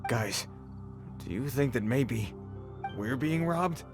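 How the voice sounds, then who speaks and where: A man's voice: mid-range voice, animated, slow pace, over music. A man voicing a sneering bandit thug in a video game, with slangy, folksy, taunting delivery. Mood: uneasy.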